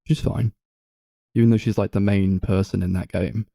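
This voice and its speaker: A man's voice: on the low side, fairly even intonation, normal speed, gravelly, warm tone, dry recording, clean studio sound. A man co-hosting a podcast, casually discussing films in a rambling way peppered with "like". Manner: rambling, full of "like". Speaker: podcast co-host.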